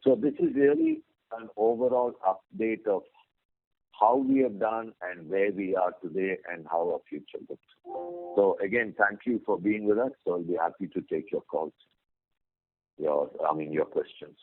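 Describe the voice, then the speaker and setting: A man speaking as a company executive on an earnings call, giving business updates in measured, hesitant phrasing. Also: low voice, animated, no echo. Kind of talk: business update and answering analyst questions. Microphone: phone conference line. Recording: phone-like conference call line.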